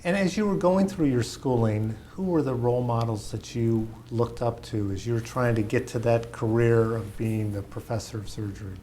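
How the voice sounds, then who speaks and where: A man asking measured, polite questions as an interviewer in an oral-history recording. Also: medium pitch, warm tone, a little hiss or hum.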